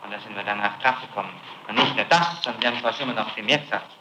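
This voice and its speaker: A man's voice: lowish voice, racing. Probing male interrogator on an echoey, noisy tape. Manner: probing, insistent, confronting. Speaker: prosecutor questioning a suspect. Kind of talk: formal interrogation, reading out statements.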